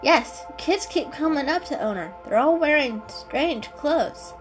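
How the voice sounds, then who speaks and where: A woman's voice: sits high, lively intonation, a little slow, soft background music, voice pushed up, rough recording. A woman voicing a restless, grumbling male kitty in a storybook reading, with an impatient manner. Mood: puzzled.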